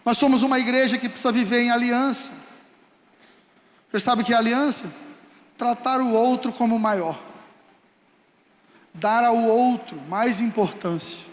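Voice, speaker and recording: A male pastor with a Brazilian Portuguese accent preaching a fervent sermon that rises to shouts. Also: very high-pitched, a little slow, long pauses. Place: church hall. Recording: echoey hall with long reverb.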